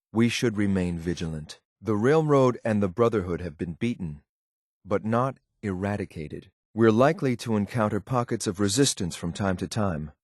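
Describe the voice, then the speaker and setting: Low deadpan synthetic soldier for a game, clipped and formal, loyal but stern, super dry sound. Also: male voice, dry recording. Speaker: voice actor in a video game. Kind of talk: scripted game character lines.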